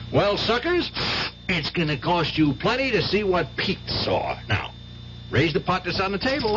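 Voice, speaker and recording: An actor playing a mocking, hot-tempered gambler, slangy and boisterous, in radio drama style. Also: male voice, high-pitched, a bit bright, exaggerated voice. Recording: muffled radio drama recording, faint hiss.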